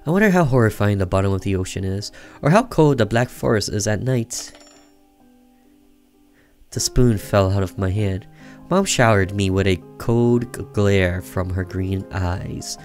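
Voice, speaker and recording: A man reading a story aloud as expressive narration for a game, occasionally stumbling over the words. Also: on the low side, a little slow. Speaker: gaming streamer reading a visual novel aloud. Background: music under the voice.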